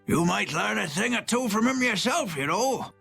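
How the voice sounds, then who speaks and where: Casting: kindly elderly game townsperson, high, gravelly and raspy. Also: male voice, animated, normal speed, pushed and bright, faint music behind, exaggerated voice. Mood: kindly, grateful. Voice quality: raspy, creaky. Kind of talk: video game voice acting.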